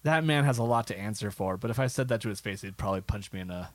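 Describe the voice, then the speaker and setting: A man's voice: fairly low pitch, racing, no echo. A man co-hosting a podcast, talking casually about films with a wry, film-buff manner. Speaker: podcast co-host.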